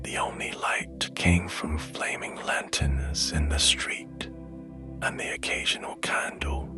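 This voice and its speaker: Bedtime story narrator, male: extremely low whisper, soothing and gentle, music playing clearly behind. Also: steady tone. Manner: hushed, gentle, soothing, unhurried.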